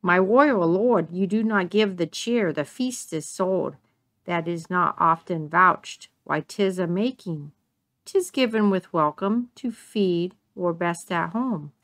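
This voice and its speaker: A woman playing a commanding, scornful queen in a Shakespearean dramatic reading, in deliberate, declamatory verse. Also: fairly low pitch. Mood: gracious. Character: commanding, scornful queen covering for her husband. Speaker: amateur actor in a library's dramatic reading.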